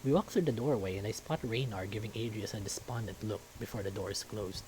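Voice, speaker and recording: A man's voice: fairly low pitch, brisk, a little mellow, slight background noise, rough recording. A man reading a visual novel aloud as storytelling narration, now and then stumbling over a word.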